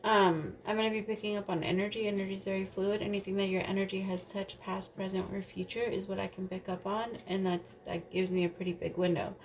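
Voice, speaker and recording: A woman's voice: fairly low pitch, darkish tone, slight background noise. A woman reading tarot cards in a casual, rambling way, a little stuffy and nasal from allergies, swearing now and then. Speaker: tarot reader.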